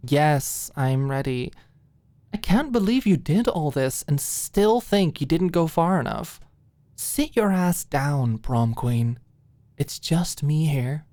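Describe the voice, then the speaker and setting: A woman's voice: low voice, expressive, slow pace, mellow, dry recording. A woman voicing a wry, teasing young woman with a sarcastic manner in an audiobook. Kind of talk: audiobook character dialogue.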